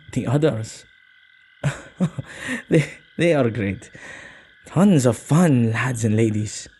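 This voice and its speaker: A man acting a soft-hearted male witch who keeps ghosts, in an ASMR roleplay, with a halting, gently apologetic manner and slightly archaic wording. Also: mid-range voice, expressive, husky, exaggerated voice. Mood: fond, bashful.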